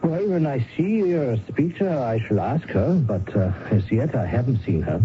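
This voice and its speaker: A man playing a formal, evasive Danish lawyer with measured courtesy in a radio mystery drama. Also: mid-range voice, big pitch swings, music in the background, lightly acted. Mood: courteous, guarded. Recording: noisy muffled old radio recording.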